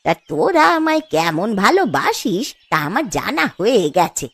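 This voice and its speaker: A woman's voice: mid-range voice, very animated, moderate pace, husky, dry recording. A woman voicing an aggrieved, self-pitying old village grandmother in a cartoon story, complaining and reproachful.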